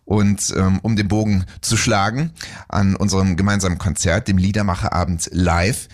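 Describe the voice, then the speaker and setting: A man hosting a radio music show in the studio, asking a guest questions in a measured, friendly way. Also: sits low, fairly even intonation. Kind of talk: radio interview.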